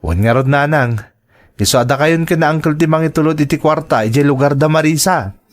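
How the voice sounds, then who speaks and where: Give the part of a young man in an audio drama, played by a male actor. His voice sits a little high. He is devoted and generous, speaking earnestly to the people he cares for. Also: fairly quick, lightly acted. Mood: eager, respectful. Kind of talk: radio drama acting in Ilocano.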